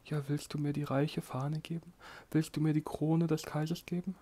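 A man voicing a small, kind female nightingale in a storybook reading, speaking softly and a little higher. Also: flat delivery, moderate pace, breathy edge. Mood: gentle.

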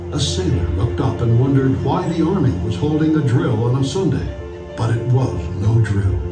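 A man narrates a history documentary with measured, dramatic weight, setting down short phrases deliberately. His mid-pitched voice comes from some distance in an echoing space, with loud music playing behind it. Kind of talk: scripted narration of wartime history. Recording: roomy playback from afar under loud music.

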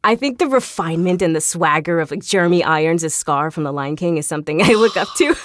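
Low-pitched woman chatting enthusiastically, close dry sound. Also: animated, fast, dry recording. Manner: enthusiastic, effusive, giggly. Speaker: podcast guest, voice actor. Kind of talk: casual interview chat.

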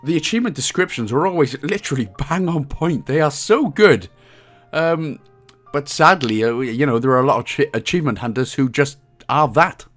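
Welsh guy doing a game walkthrough, chatty and jokey, voice a bit high and really up and down. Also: expressive, moderate pace, a bit bright, faint music behind. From Wales. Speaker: game guide host. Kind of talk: casual gameplay walkthrough commentary.

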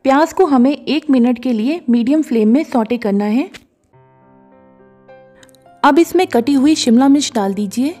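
A woman calmly narrating a cooking recipe step by step as a voice-over, slipping English words into her sentences. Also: sits high, moderate pace, long pauses. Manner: calm, instructive, mixes English words into Hindi. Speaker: cooking show host. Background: soft background music.

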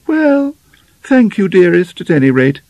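A man's voice: high-pitched, sing-song, a little slow, darkish tone, dry recording, pitched above the performer's own voice, full character voice. A man voicing a placid, genteel middle-aged mother in an audiobook, with fussy endearments and mild surprise. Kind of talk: audiobook character dialogue.

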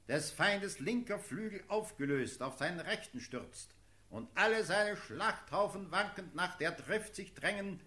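An actor playing a formal field marshal in a classical radio drama, dictating battle orders in a ceremonious, declamatory way. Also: male voice, high voice, pushed and bright, full character voice.

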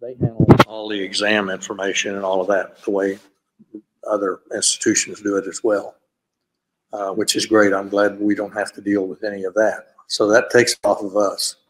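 An older man with a lowish voice speaks on a conference panel over a video call. He explains his office's process step by step at an unhurried, steady pace and often pauses on "uh.". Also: rough recording. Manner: steady, explanatory, with frequent "uh" fillers. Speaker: panelist, graduate school administrator.

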